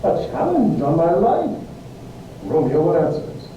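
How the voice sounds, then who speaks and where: Man acting a high, cocky young gentleman, echoey. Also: wildly swooping pitch, unhurried, lo-fi sound, exaggerated voice. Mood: agitated. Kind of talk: amateur Shakespeare play reading. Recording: very echoey, distant, noisy with rumble.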